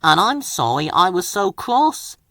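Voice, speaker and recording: A man's voice: high voice, big pitch swings, measured pace, sharp tone, dry recording, clean studio sound, voice pushed up, exaggerated voice. A man voicing a cheeky, sometimes huffy young male tank engine in a children's storybook. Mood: apologetic.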